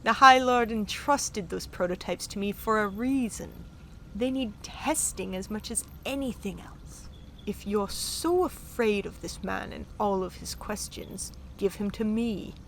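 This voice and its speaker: A woman's voice: mid-range voice, measured pace, fairly bright tone, a little hiss or hum. A woman playing a haughty, savage countess in a sci-fi audio drama, languid, mocking and menacing. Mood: sly menace. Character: haughty, savage countess, cool sardonic schemer. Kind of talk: full-cast sci-fi audio drama acting.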